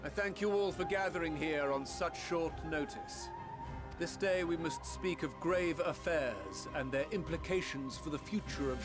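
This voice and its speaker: An actor playing a grave, authoritative statesman in a fantasy game, making solemn, measured formal oratory. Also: fairly high pitch. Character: grave, authoritative male elder statesman addressing an assembly.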